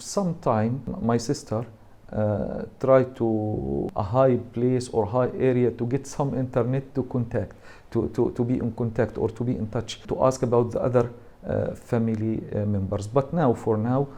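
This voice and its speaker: Palestinian guy w arabic accent, really dark soft-edged voice, normal pitch, telling his own story, halting english, native arabic speaker. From Gaza, Palestine. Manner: halting, searching for words in non-native English. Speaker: university lecturer giving personal testimony. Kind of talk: personal account in an interview.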